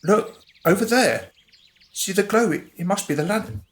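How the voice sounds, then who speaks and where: A man playing a slow-witted, suspicious gang member who blusters hesitantly in a radio comedy. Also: sits high, lightly acted. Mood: edgy. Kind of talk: radio comedy drama acting.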